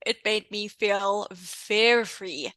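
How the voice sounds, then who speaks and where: A woman's voice: mid-range voice, drawn-out words, a bit bright, dry recording. A South African woman in her thirties, a guest in a casual podcast interview, chatting freely and often restarting her sentences. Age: 30s. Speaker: podcast guest, intuition coach.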